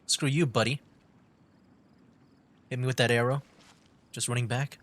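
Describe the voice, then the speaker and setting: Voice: mid-range voice, steady tone, measured pace. A streamer giving casual, offhand, chatty commentary while playing a game. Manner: offhand and chatty, muttering reactions. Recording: over game music.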